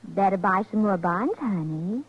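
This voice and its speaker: Lively, coaxing radio wife. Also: female voice, medium pitch, unhurried, husky. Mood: coaxing, coy. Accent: American. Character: sweet, coaxing, coy young wife. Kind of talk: 1950s radio advert sketch acting. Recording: dull, noisy vintage radio recording.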